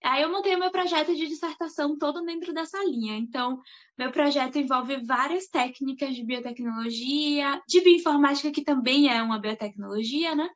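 Young woman, high voice, chatty, keeps adding little tag questions. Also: normal speed. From Salvador, Bahia, Brazil. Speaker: guest, master's student. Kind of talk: interview answers.

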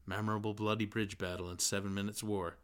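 A man reads as an aloof young intellectual in a literary novel. He is dry and learned, mumbling his words in a noncommittal way, with a fairly low voice. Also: flat delivery, fast, fairly bright tone, clean tone, natural voice. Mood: dry assent. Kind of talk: literary audiobook dialogue.

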